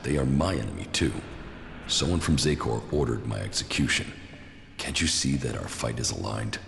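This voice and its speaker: A grim, stoic exiled commander in a sci-fi game. The voice is extremely low and gravelly, with terse, stern delivery. It rings with echo. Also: male voice, expressive, normal speed, fairly bright tone, a little hiss or hum, full character voice. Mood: firm, persuading. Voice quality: deep gravelly voice. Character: gruff exiled alien-army commander, stern and stoic man. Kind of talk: video game cutscene acting.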